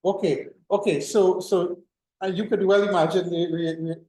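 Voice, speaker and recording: A man's voice: sits high, steady tone, moderate pace, mellow, echoey room, poor audio. A middle-aged man giving an informal classroom lecture, full of fillers and restarts. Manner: rambling, many fillers and restarts. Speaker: university lecturer.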